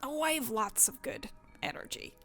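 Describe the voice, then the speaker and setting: A curious, skeptical young woman reporter, played by a woman in an audio drama, with a somewhat high voice, stammering when flustered and wry when she probes. Faint music sits under her lines. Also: moderate pace, pushed and bright. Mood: probing. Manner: stammering at first, then probing and wry. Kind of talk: audio drama table read.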